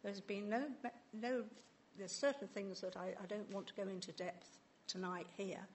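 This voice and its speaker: A woman's voice: medium pitch, normal speed, a little hiss or hum. A woman councillor speaking in a formal council meeting, earnest and hesitant, often restarting her words.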